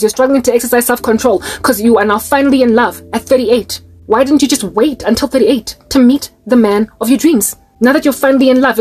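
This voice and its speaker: A woman's voice: a little high, darkish tone, husky. A South African woman in her late thirties, preaching to her viewers in an exhorting, rhetorical monologue. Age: 30s.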